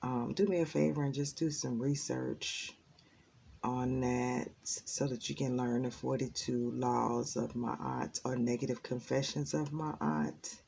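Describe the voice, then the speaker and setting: A woman giving a casual spiritual tarot reading in a raspy, just-woken voice, rambling and earnest with many ums. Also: very deep voice, fairly even intonation, a little slow, mellow, slight background noise. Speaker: tarot reader.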